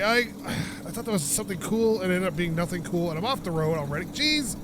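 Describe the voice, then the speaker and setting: A man's voice: sits high, background noise. A man from North America hosting a let's-play video, chatting and joking casually while he plays, and he has the sniffles. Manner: chatty, jokey, rambling asides. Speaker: gaming video host.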